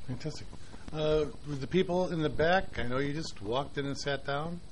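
A man's voice: fairly high pitch, normal speed, a little hiss or hum, low-quality recording. A man chairing a conference meeting in a meeting room, speaking loosely with frequent "uh"s. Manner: relaxed, filler-heavy speech with many "uh"s.

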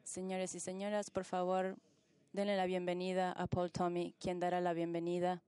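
Female conference interpreter, lowish and bright, phrasing in fragments with self-corrections. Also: completely flat delivery, moderate pace, smooth, no room sound. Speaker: simultaneous interpreter. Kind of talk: live interpretation of conference speeches.

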